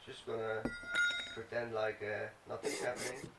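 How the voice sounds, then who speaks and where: A man's voice: mid-range voice, little pitch movement, moderate pace. A man giving casual let's play commentary on a game, swearing and muttering as he reacts. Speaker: gaming video host. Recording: roomy, distant mic, clear background noise.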